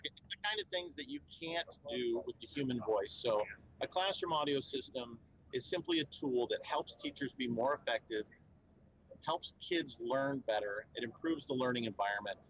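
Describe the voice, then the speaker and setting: A man's voice: mid-range voice, animated, normal speed, fairly bright tone, lo-fi sound, slight background noise. A man speaking as a company spokesman at a trade show, explaining in an earnest, fluent way with frequent fillers and restarts. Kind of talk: conversational explainer interview.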